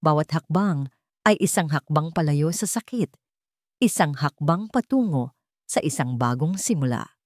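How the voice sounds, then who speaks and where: A woman's voice: deep voice, a little mellow, no echo, clean studio sound. A woman reading a scripted, dramatic romance story as a storyteller.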